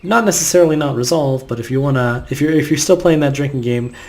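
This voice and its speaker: A man's voice: mid-range voice, quick pace, a little mellow. A man giving casual, rambling, nitpicking let's play commentary. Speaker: gameplay commentator. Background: game music.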